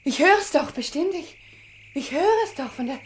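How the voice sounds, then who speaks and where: An anxious, higher-voiced woman. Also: animated, normal speed, a little hiss or hum. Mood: panicked, tearful. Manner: hesitant, pleading, emotional. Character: anxious, frightened woman clinging to her companion. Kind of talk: German radio play acting.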